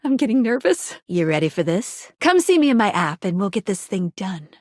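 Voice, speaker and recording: A woman playing a bubbly, quirky, playful object come to life who guides the player in a video game, with perky, expressive delivery. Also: mid-range voice, normal speed, no echo, clean studio sound. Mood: helpful, chirpy. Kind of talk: video game character voice lines.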